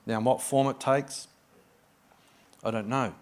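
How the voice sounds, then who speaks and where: A man's voice: medium pitch, steady tone, slow pace. A middle-aged Australian man, a guest pastor, preaching a sermon in an effusive, chatty way with personal stories.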